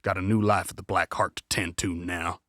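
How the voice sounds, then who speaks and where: A man's voice: low voice, little pitch movement, a little fast, fairly bright tone, no echo, studio quality. An actor playing a stern bearded male outlaw in a western audio drama, with a terse, grudging way of talking.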